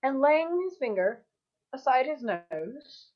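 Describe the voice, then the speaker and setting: A high-voiced young storyteller played by a young woman recounts a Christmas Eve visit as an earnest parent in a radio play. The delivery is dramatic and full of wonder, captured in slightly muffled video call sound. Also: very animated, slow, full character voice. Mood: storytelling. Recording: dull video call audio.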